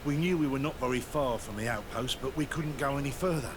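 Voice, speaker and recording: A man's voice: mid-range voice, a little fast, noisy background, close to an everyday voice. A man playing a shaken, deferential caravan survivor in a video game, recounting things in a grave, anguished way. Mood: weary, grave. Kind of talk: scripted video game dialogue.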